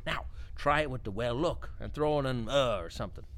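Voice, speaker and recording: A man's voice: mid-range voice, brisk, fairly bright tone, natural voice. Man playing a brash, bullying political spin doctor. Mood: smug, coaching. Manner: brash, bullying, interrupting, swearing. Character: pushy, foul-mouthed, cynical male political spin doctor. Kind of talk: satirical audio drama acting.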